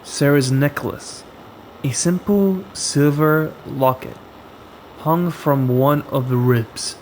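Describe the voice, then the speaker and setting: A man reading a scripted horror story as a storyteller, with deliberate, dramatic phrasing and frequent pauses. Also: a little high, a little hiss or hum, low-quality recording.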